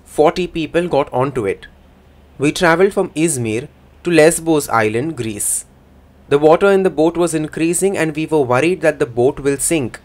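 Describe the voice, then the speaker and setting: A man voicing a first-person interpretation for a signing speaker in a plain, measured way. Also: a little high.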